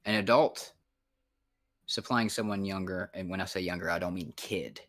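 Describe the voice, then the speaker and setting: A man's voice: low voice, normal speed, fairly bright tone. A man in his thirties in a casual voice chat, rambling and frank, swearing often. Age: 30s.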